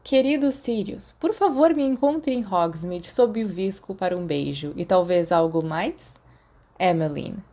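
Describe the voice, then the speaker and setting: A woman's voice: fairly low pitch, measured pace, mellow. A woman with a Brazilian accent voicing a dry, reluctant teenage schoolboy in an audiobook. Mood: reading aloud.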